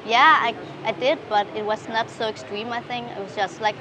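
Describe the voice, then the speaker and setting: A woman's voice: a little high, fairly even intonation, normal speed, crowd noise, rough recording. A woman in her thirties from Denmark, answering interview questions in a hesitant, casual way with many fillers and restarts. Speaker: interview guest. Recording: street recording with clear background noise.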